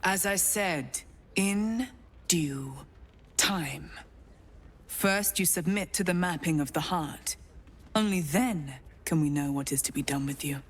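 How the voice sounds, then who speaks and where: Stern cult gatekeeper for a fantasy game: low, slow, hushed and ominously formal. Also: female voice. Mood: solemn, stern. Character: stern, solemn female gatekeeper of a shadowy cult. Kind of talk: dramatic video game acting.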